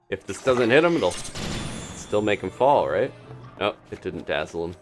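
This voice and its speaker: Low-voiced man with lively, swinging intonation. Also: measured pace, soft background music. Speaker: game streamer, host. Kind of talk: casual live gameplay commentary.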